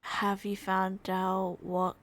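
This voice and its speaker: A woman's voice: medium pitch, completely flat delivery, slow pace, fairly bright tone. A woman game streamer reacting casually as she plays, close to the microphone. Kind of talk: casual reactions while playing.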